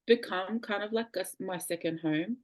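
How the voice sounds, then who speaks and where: A young woman from New Zealand, a guest in a casual video-call interview, speaking softly and hesitantly with many fillers. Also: mid-range voice, moderate pace, no echo.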